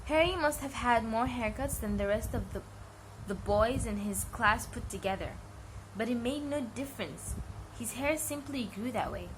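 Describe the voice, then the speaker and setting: A woman's voice: on the high side, lively intonation, moderate pace. Woman reading a bedtime story, noisy recording. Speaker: amateur storybook reader.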